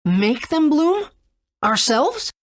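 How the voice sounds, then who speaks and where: An actor playing an eager, earnest young boy adventurer in a video game, with an excitable manner. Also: sits high, drawn-out words, exaggerated voice. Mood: baffled. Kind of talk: video game character acting.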